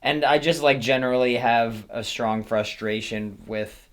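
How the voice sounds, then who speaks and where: A man's voice: medium pitch, measured pace. A young man in his twenties, a rapper and beat producer, chatting casually and rambling in a small home recording studio. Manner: relaxed, rambling, with fillers.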